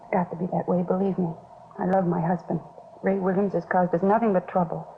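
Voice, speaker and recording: An American actress playing a worried beauty shop owner in a 1950s radio drama, confessing and pleading anxiously. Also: female voice, on the low side, flat delivery, brisk, gravelly. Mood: pleading, distressed. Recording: scratchy, phone-like 1950s radio recording, noisy.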